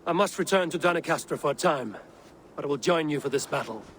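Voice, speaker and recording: Stern, higher-voiced Viking raider. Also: male voice, expressive, brisk, fairly bright tone, slight background noise. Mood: resolute. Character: stern, blunt, principled Viking raider hero. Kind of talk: dramatic video game cutscene acting.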